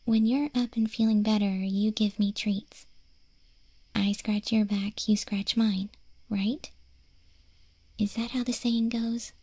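A woman playing a proud, caring young wolf girl in a soft, breathy whispered ASMR roleplay. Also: mid-range voice, little pitch movement, slow, long pauses, no room sound. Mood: cozy, pleased.

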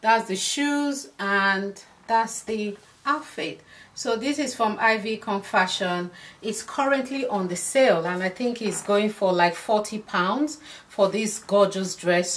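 A woman in her thirties chatting to camera as a fashion vlogger, affectionate and chatty, a little hoarse from losing her voice, recorded in a dressing room by a camera a few steps away. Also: mid-range voice, fairly bright tone. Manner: chatty, affectionate, addresses viewers as darlings. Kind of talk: casual styling talk to camera.

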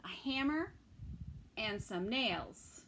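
A middle-aged woman reads a picture book to toddlers in a slightly high voice. She takes it very slowly and expressively, leaving pauses between phrases. It is recorded in a room at home with faint noise behind her. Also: expressive, a bit bright. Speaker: children's story time reader. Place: small indoor room with bookshelves.

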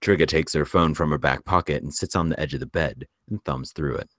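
A man's voice: extremely low pitch, a little fast, a bit bright, dry recording. A man narrating stage directions from a script in a plain reading style.

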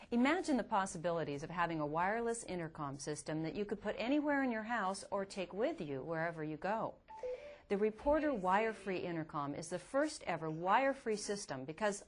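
A woman reporter narrating a scripted promotional product report. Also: medium pitch.

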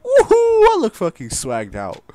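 A man casually commenting on a video game he plays, joking and laughing, jumping from loud squeals to low mumbles. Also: huge pitch swings, a little slow, slight background noise. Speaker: gameplay streamer. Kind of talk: casual let's-play commentary.

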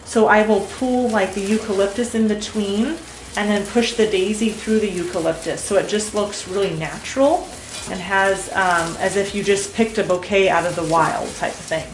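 A woman's voice: medium pitch, fairly even intonation, moderate pace, a little hiss or hum, poor audio. Enthusiastic woman vlogging home decor. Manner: enthusiastic, gushing. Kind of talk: casual shopping and decorating vlog. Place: home dining room.

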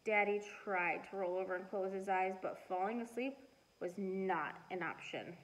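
A middle-aged woman reading a storybook aloud and chatting to children in an expressive, deliberate way. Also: medium pitch, rough recording. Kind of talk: storybook read-aloud and casual chat to children. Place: living room at home.

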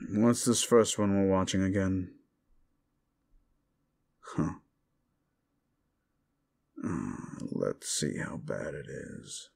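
A man as a low-voiced, condescending demon. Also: sing-song, extremely slow, vocal fry, long pauses, darkish tone, slight background noise, exaggerated voice. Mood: grudging, sardonic. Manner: condescending, mocking, drawling exasperation. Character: condescending male demon, fallen angel, sardonic and smug. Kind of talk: intimate roleplay audio drama monologue.

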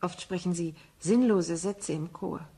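An actress playing a wistful, principled woman in a radio drama, speaking quietly and reflectively with a breathy, husky voice. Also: lowish voice, measured pace. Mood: quietly earnest. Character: wistful, principled woman drawn to a foreign people.

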